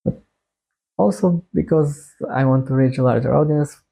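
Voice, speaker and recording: A young man from Bulgaria with a Bulgarian accent, talking casually to his viewers in a halting, hesitant way. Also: a little high, vocal fry, warm tone, breathy edge, low-quality recording. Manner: halting, hesitant delivery with long phrasing breaks. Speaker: YouTuber introducing a music channel. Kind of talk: casual to-camera monologue.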